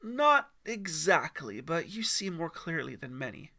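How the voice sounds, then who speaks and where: Man playing a patient, gravelly mountain storyteller. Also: a little high, sing-song, exaggerated voice. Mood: instructive. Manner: measured, gently instructive.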